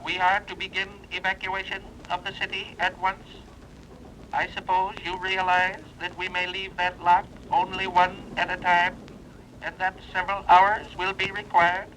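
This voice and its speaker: A stiff, formal leader of a hidden civilization. His high voice is flat and sharply bright, coming over a radio link in a vintage adventure serial. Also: normal speed. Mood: formal, submissive. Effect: radio transmission. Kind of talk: 1930s radio serial acting. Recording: noisy vintage radio recording.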